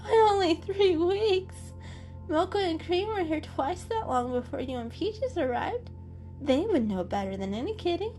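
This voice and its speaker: A woman's voice: extremely high pitch, big pitch swings, moderate pace, mellow, soft background music, pitched above the performer's own voice. A woman voicing a cute, playful young female cat, bubbly, kind and reassuring, in a children's story. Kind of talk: dramatic children's story reading.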